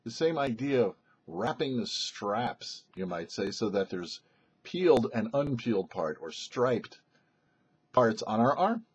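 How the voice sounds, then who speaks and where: A man speaks as a rabbi giving Torah commentary. His medium-pitched voice is husky and moves through lively rises and falls as he explains deliberately, stressing key phrases. The recording is slightly dull and lo-fi. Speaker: rabbi presenting a weekly Torah portion show. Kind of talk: religious teaching, scripted-sounding Torah commentary.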